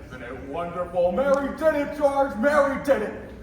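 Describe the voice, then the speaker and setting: A young man acting in a school radio play as a devoted, excitable family friend bursting with news. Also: sits high, normal speed. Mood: elated. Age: young adult. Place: school theatre stage. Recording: very echoey hall, distant microphone.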